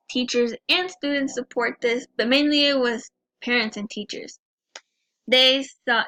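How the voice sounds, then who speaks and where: A young woman in her early twenties, a native Spanish speaker, presents to her class with a slightly high voice. She talks earnestly and personally, often restarting a phrase and working her way to the point. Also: sharp tone. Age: early 20s. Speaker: student presenter.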